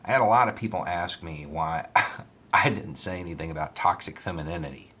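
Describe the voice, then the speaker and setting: A man giving casual, conversational commentary and storytelling in a measured way. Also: sits low, bright, forceful tone. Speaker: online commentator.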